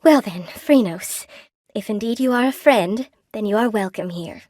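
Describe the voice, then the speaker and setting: An actress voicing a friendly, lonely weaver spider woman in a video game, with a gentle, quaint, expressive and chatty manner. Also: mid-range voice, normal speed, no echo, studio quality, close to an everyday voice. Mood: wary, polite. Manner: gentle, quaint, folksy, expressive, self-effacing. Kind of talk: video game character acting.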